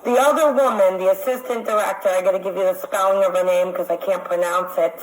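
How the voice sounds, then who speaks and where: A woman is on a phone call lodging a formal complaint. Her delivery is halting yet insistent and accusatory, with a mid-range pitch. The recording has a strong echo around her voice. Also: steady tone. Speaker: caller making a complaint.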